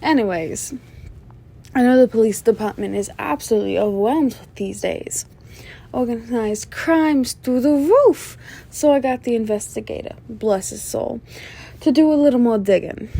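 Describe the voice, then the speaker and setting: A woman playing a flirtatious, menacing female mob boss in an ASMR roleplay, sly and self-assured, with a soft, teasing, sultry delivery. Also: a little high, vocal fry, slightly rough, exaggerated voice. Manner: teasing, sultry, drawn-out delivery with veiled threats. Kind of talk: scripted ASMR roleplay monologue addressed to listener.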